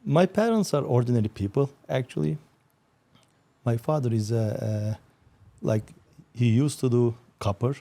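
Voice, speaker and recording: A man's voice: mid-range voice, expressive, moderate pace, long pauses, mellow. A man from Turkey, a company founder giving modest, plain answers as a podcast guest. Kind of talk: casual interview chat.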